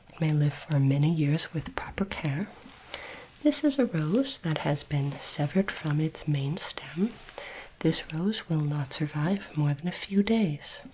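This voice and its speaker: Casting: woman playing polite alien examiner of human items, low soft whisper, formal and curious, ASMR roleplay, faint background noise. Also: rough recording. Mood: solemn, instructive. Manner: slow, deliberate, formal phrasing.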